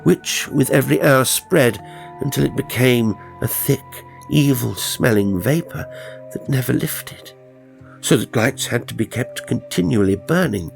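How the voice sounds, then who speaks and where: A man narrating a horror story in a measured, ominous, theatrical way, with a gravelly, husky voice. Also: mid-range voice, lively intonation. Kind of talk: dramatic scripted horror narration. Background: faint music.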